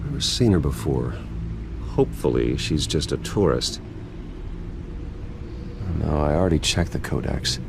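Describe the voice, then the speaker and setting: An actor playing a brooding, stubborn vigilante hero in a video game, gravelly, terse and guarded. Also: male voice, sing-song, a little slow, long pauses, noisy background, heavily acted. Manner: terse, guarded, low-key. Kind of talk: video game dialogue acting.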